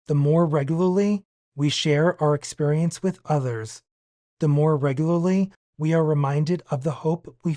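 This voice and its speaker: A man's voice: on the high side, dark tone, dry recording. A man reading a daily reflection aloud for a podcast. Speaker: podcast guest reader.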